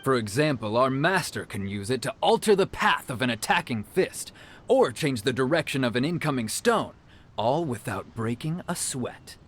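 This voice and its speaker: An actor playing a proud, earnest young martial arts disciple who explains things in a video game. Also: male voice, medium pitch, expressive, moderate pace, a bit bright, slight background noise, close to an everyday voice. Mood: explaining, admiring.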